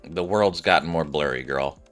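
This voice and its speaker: A man's voice: a bass voice, normal speed, fairly bright tone. A man streaming a video game, giving casual, rambling commentary as he reacts aloud.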